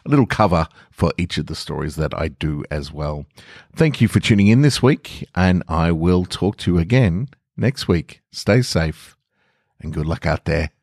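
Man, very low voice, dry close sound, calm quiet story reading. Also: dry recording. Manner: slow, quiet, reflective reading. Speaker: podcast host and story reader. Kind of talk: reading a short story aloud, then casual sign-off.

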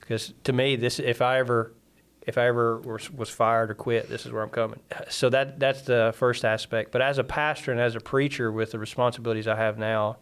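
A man's voice: medium pitch, level tone, a bit bright, smooth. A man with a Southern American accent, a missionary pastor earnestly telling his church story in an interview. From Southern United States. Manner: earnest, quick-talking, frequent restarts.

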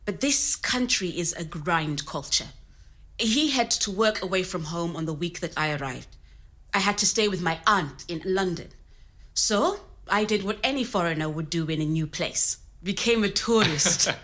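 A frank, creative immigrant woman tells her own story in an audio drama. Her voice is bright and forward, and she talks naturally, as if in conversation. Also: fairly low pitch, expressive, normal speed. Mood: wry, reminiscing. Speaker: actor in a scripted audio story.

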